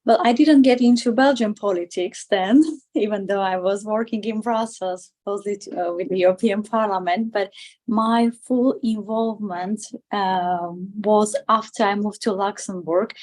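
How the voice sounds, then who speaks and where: A woman, answering conversationally as an interview guest, haltingly, often repeating and rephrasing her words. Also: medium pitch, fairly even intonation, a little mellow. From Armenia. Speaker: interview guest, political candidate.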